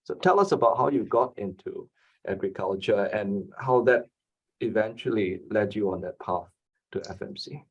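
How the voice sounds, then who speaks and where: A man hosting an interview, a law professor asking polite, measured questions. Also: on the low side, lively intonation, breathy edge, highs cut off.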